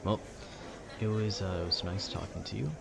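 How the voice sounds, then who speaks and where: A man's voice: sits low, steady tone, normal speed, creaky, very noisy. A man chatting casually in a laid-back, meandering, slangy way, as if rambling to a friend about video games. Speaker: audio roleplay creator.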